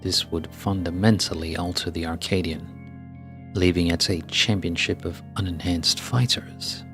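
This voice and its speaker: Grandiose combat-show presenter for a game, performed by a man: low, formal, deliberate, faint music underneath. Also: fairly even intonation. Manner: florid, formal, deliberate announcing. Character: grandiose, theatrical fight show presenter addressing combat fans. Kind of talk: scripted game dialogue read aloud.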